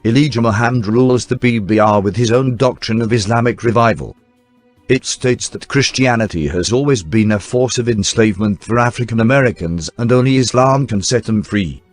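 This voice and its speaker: A man reading scripted newsreel documentary narration in a formal, measured announcer style. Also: mid-range voice. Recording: dry voice-over with faint music bed. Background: faint background music.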